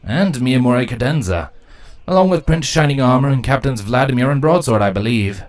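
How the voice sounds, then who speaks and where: A man voicing a good-natured, loyal pony guard captain with a warm, easygoing manner in a story reading. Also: medium pitch, animated, brisk, pitched above the performer's own voice, natural voice. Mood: informative. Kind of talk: acted fan fiction dialogue.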